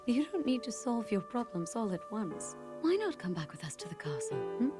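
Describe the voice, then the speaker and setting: An actress playing a gentle, kindly queen in a game cutscene, speaking softly and coaxingly, often near a whisper. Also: a little high, very animated, moderate pace, music in the background.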